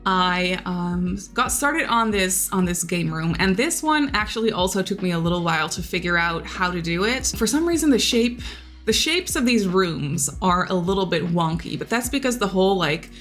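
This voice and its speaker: A woman's voice: on the low side, lively intonation, moderate pace. A young woman whose first language is Dutch, chatting casually in a voice-over about a building game, with lots of fillers and repeated words. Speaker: Sims builder YouTuber. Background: soft background music.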